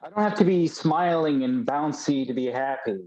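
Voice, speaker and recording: A man's voice: a little high, animated, moderate pace, darkish tone, natural voice, low-quality recording. A man playing a serene, reserved, devoted partner with understated delivery, in a live play reading over a video call. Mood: quiet affection.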